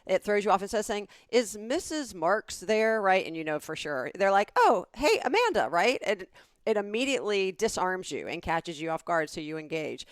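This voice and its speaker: A woman's voice: mid-range voice, big pitch swings, moderate pace, no echo, studio quality. A woman from the American South co-hosting a podcast, explaining things at length in a chatty way and checking in with "right?". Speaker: podcast co-host. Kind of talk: casual conversational explaining.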